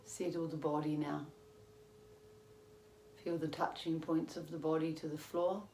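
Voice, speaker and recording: A woman yoga teacher leading a guided relaxation in a calm, measured, soothing manner with long pauses. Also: low-pitched. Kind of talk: guided meditation, yoga nidra instruction. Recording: roomy echo with faint background noise.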